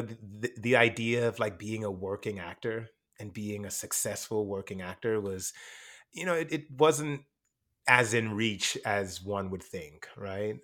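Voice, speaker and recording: A man born in Jamaica, an actor and teacher, talking over his experiences in a relaxed interview, with frequent fillers and restarts. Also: fairly low pitch. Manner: reflective, many fillers and restarts. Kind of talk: casual interview conversation.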